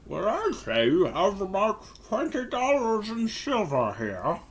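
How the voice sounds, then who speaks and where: Man as a swooping, high-voiced fussy clerk. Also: huge pitch swings, a little slow, a little hiss or hum, heavily acted. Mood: apologetic. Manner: polite, obsequious, precise. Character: courteous, fussy old-west silver dealer clerk, male. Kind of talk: audio drama acting.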